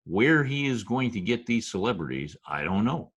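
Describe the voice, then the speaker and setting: A man playing a wisecracking Broadway hustler who narrates his tale in old-time radio drama style, full of street slang and deadpan turns. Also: lowish voice, moderate pace, breathy edge. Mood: wry. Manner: folksy present-tense slang, deadpan storytelling. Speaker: narrator and supporting character. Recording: music behind, home video-call radio play.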